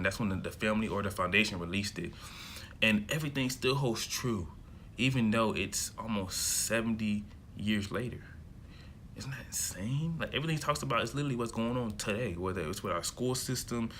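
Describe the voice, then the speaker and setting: A man's voice: lowish voice, bright, forceful tone, a little hiss or hum. A young man from America in his early twenties, talking to camera in a loose, chatty monologue full of fillers and restarts. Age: young adult. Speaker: self-development vlogger. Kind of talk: casual rambling monologue to camera.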